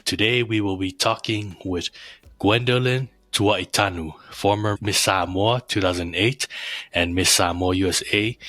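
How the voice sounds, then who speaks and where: A man hosting a podcast, briskly reading the show's intro and then asking his guest questions. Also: low-pitched, bright, forceful tone, dry recording. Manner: brisk, reads intro quickly. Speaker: podcast host. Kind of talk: scripted podcast intro, interviewing.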